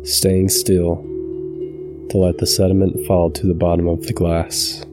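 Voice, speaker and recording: A man guiding a meditation from a script in a calm, measured, soothing way. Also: low-pitched, music in the background. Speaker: meditation guide, channel host. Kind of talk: scripted guided meditation narration.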